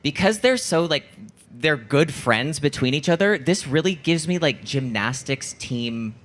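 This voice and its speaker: A man co-hosting a live podcast in casual chat, halting and emphatic, repeating words as he goes. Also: fairly high pitch, animated, a bit bright. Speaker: podcast co-host. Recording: live show with faint background noise.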